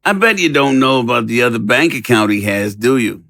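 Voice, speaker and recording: Talking dog for audio drama: sly gossip, gravel in the voice, medium pitch. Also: male voice, expressive, fairly quick, pushed and bright, no echo. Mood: sly, teasing.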